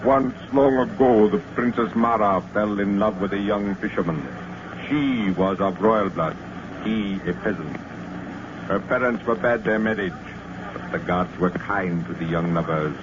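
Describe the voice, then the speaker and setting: A man's voice: on the low side, natural voice. An actor playing a solemn, ominous West Indian man telling island legends in grave, formal words, in old radio drama style. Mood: solemn storytelling. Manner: slow-building, grave storytelling with formal wording. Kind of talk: 1940s radio drama acting. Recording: noisy, muffled old radio recording.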